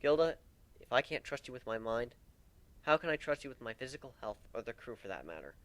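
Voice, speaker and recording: A man's voice: medium pitch, big pitch swings, brisk, a bit bright. A man voicing a wounded male griffin captain in a story, wry, plaintive and emphatic, blaming himself yet devoted. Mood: earnest.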